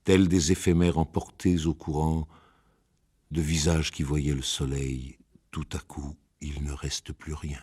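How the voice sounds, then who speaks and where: A man reading ancient epic poetry aloud in a solemn, measured, declamatory way. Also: extremely low pitch, little pitch movement, a little mellow, breathy edge.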